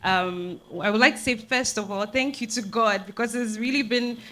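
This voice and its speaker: Bright-voiced Ghanaian woman speaking on stage. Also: a little high, normal speed. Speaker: theatre director thanking the audience. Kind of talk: informal thank-you speech on stage.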